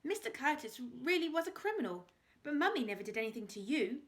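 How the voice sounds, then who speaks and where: A bossy, scornful young aristocrat girl with a sharp tongue, voiced by a woman reading a storybook. Her voice jumps high above the reader's own and comes out loud. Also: sing-song, normal speed. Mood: accusing.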